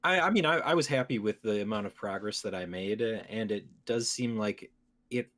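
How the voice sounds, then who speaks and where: A man's voice: on the low side, fairly quick, a bit bright. A man talking casually about video games as a podcast co-host.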